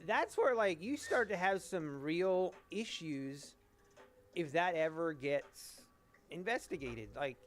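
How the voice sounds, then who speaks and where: A man in casual podcast chat, talking things through in a measured, hedging way. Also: fairly high pitch, animated.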